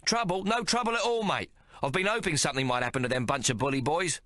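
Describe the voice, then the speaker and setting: A man voicing a generous, friendly chef and innkeeper who talks in rough colloquial slang. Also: a little high, quick pace, sharp tone, no echo, clean studio sound, voice pushed up. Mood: hearty. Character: hearty middle-aged male chef and innkeeper, generous.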